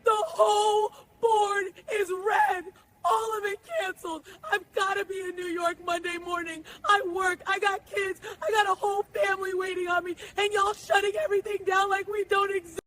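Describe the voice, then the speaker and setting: A stranded traveler shouting in distress, close to tears. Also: male voice, extremely high pitch, level tone, moderate pace, slight background noise, poor audio.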